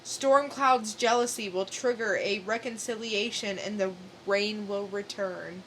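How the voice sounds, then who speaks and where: A woman's voice: mid-range voice, measured pace, fairly bright tone, a little hiss or hum, lightly acted, rough recording. A woman playing a blunt, imperious prophet with a salty tongue in an amateur dramatic comic book reading. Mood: solemn, imperious.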